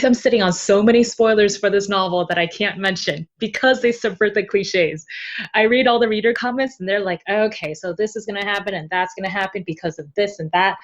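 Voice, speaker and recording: A woman's voice: mid-range voice, muffled recording. A woman speaking as an interview guest in casual, candid talk, expressively mimicking other people's reactions. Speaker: podcast guest, novel translator.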